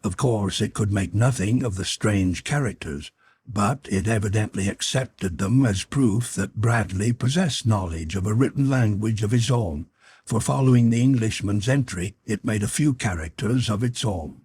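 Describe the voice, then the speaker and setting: A man reading a novel as an audiobook narrator, in measured, formal storytelling style. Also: lowish voice, a little mellow, husky. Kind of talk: scripted literary narration.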